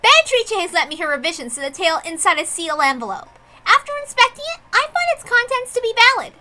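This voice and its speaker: A woman's voice: very high voice, sing-song, quick pace, bright, forceful tone, faint music behind, pitched above the performer's own voice, exaggerated voice. A woman voicing a grand, imperious witch who presides over a duel, proclaiming pompously in a visual novel reading. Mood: solemn proclaiming.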